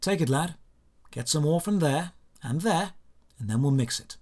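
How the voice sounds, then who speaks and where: A big brawny miner played by a male narrator, gentle and encouraging. The voice is surprisingly soft and informal, pitched on the high side, with lively movement in an audio-drama telling. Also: moderate pace. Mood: friendly, encouraging. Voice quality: oddly soft. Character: huge muscular miner man, friendly, soft-spoken.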